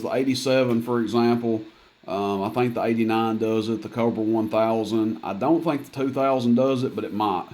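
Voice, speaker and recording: A man's voice: mid-range voice, mellow. A man giving a casual hands-on equipment demonstration in a plainspoken, folksy, matter-of-fact way. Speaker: CB radio seller demonstrating equipment.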